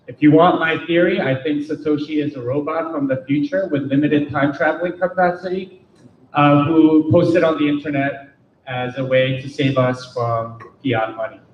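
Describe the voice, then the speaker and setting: A man's voice: fairly high pitch, normal speed. A man speaking bluntly at a meetup, firing rhetorical questions at the audience, heard from a distance. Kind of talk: meetup Q&A talk. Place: meeting room. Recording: roomy, distant, dull lo-fi sound with faint noise.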